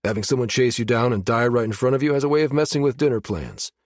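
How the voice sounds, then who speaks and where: A man voicing a grim team captain in an audiobook, speaking low and deadpan. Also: fast talker, dry recording, clean studio sound, close to an everyday voice. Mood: sour.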